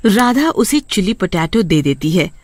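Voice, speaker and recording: A woman's voice: medium pitch, big pitch swings, measured pace, a little mellow, no echo. A woman storyteller reading scripted narration of a tale.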